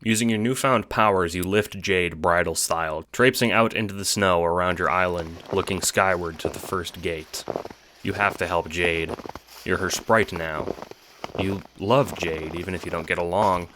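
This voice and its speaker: A man's voice: deep voice, fairly even intonation, normal speed, pushed and bright, slight background noise, rough recording. A man reading scripted second-person narration as the narrator of an audio drama.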